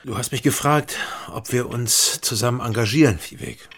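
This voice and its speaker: A man's voice: medium pitch, moderate pace, a bit bright, husky, no room sound, studio quality. An actor playing a guilt-ridden East German man in a radio crime drama, brooding and terse as he tells his story. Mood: guarded, probing. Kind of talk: radio crime drama, first-person narration and dialogue.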